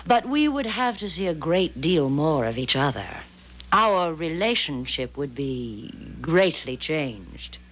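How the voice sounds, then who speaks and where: Sly, seductive she-devil, lively delivery. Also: low-pitched, slow, slight background noise, exaggerated voice, rough recording. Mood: coy, seductive. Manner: precise, coy, formal wording. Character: seductive, sly she-devil bargaining for souls, formal, self-assured. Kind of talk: 1970s radio drama acting.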